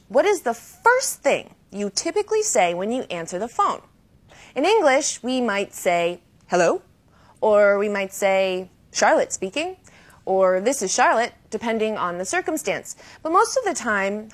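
A woman hosting a language lesson with scripted, didactic delivery, slowing down to model key phrases. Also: mid-range voice. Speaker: host and language teacher. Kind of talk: scripted educational presenting.

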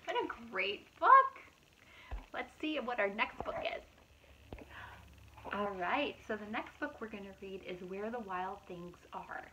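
Unhurried woman reading picture books aloud. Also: mid-range voice, noisy background. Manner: animated, exaggerated gasps and asides to listeners. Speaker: preschool teacher reading storytime. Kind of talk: expressive picture-book read-aloud for young children.

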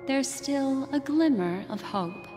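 A woman voicing a mysterious fortune-teller in a video game, telling a slow, soothing bedtime-story recap. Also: sits high, animated. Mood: soothing, enigmatic. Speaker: game character narrating a recap. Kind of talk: video game cutscene voice acting. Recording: game audio with long reverb and music.

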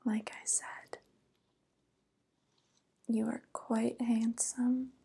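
Evil witch, played by a young woman, vain and cooing, ASMR roleplay: mellow, extremely breathy, whispered close to mic. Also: a little high, level tone, full character voice. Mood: smug, sly. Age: young adult. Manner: slow, cooing, gently condescending. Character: evil youth-stealing witch, sly, vain, falsely tender. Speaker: ASMR performer. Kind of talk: soft-spoken ASMR roleplay. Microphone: close microphone.

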